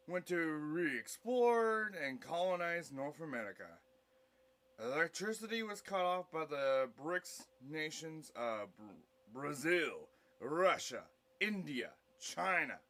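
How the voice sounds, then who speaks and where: Male audio play narrator: slightly high pitch, unhurried, halting scripted read. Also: sharp tone.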